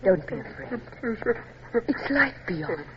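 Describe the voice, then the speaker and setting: A woman's voice: medium pitch, very animated, takes it slowly. An actress playing a strong-willed, compassionate British noblewoman, formal and resolute, in an old radio drama. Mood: grieving, tender. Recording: muffled, noisy old shortwave radio drama.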